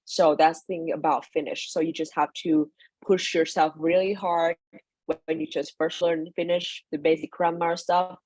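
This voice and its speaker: A woman's voice: low-pitched, little pitch movement, moderate pace, a little mellow, no echo, low-quality recording. A young woman from Vietnam, speaking English on a webinar video call. She talks loosely and chattily, with many fillers and self-corrections. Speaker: webinar speaker, former student in Finland. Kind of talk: informal personal talk to an online audience.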